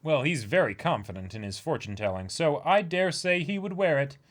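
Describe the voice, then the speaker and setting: A man's voice: a little high, expressive, brisk. A man co-hosting a manga reading stream, giving casual commentary and some reading aloud.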